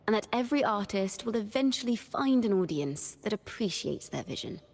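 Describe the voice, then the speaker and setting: A woman voicing a young, horned wandering bard in a video game, a cheerful yet wistful poet who speaks in lyrical, expressive storytelling with a reverberant tail. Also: fairly low pitch. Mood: thoughtful. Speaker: game character voice. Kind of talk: scripted character monologue.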